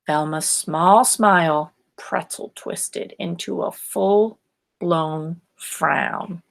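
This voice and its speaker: A woman reading a children's story aloud in a deliberate, expressive and emphatic way. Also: fairly low pitch, gravelly. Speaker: storybook reader.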